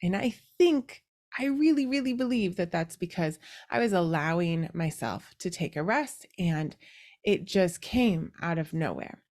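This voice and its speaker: A woman's voice: on the low side, expressive, unhurried, darkish tone. A woman hosting a podcast in a casual, chatty way, her voice slightly nasal and congested from allergies. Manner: chatty, conversational. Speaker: podcast host. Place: indoors, recorded over a video call app.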